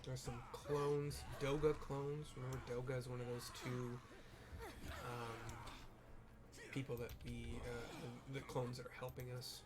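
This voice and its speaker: A man giving casual, explanatory game commentary, describing the scene in a halting way with trailing asides. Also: medium pitch, level tone. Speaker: gamer narrating a raid playthrough.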